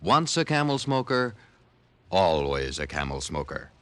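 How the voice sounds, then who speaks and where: A man's voice: deep voice, very animated, a little slow, a bit bright, clean tone. A man announcing on 1950s radio in a punchy, declarative scripted style.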